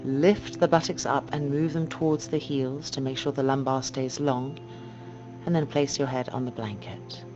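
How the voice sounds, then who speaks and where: A woman yoga teacher guiding a meditation in a slow, soft, calming and measured way. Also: rumbling low, over music. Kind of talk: guided meditation instruction. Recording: dull muffled recording with noise and hum.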